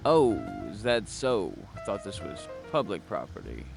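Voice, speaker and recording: An actor playing a world-weary, hard-boiled private detective, narrating a noir audio drama in a wry, brooding, gravelly voice. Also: male voice, on the low side, wildly swooping pitch, measured pace, heavily acted. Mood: defiant, wry. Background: music.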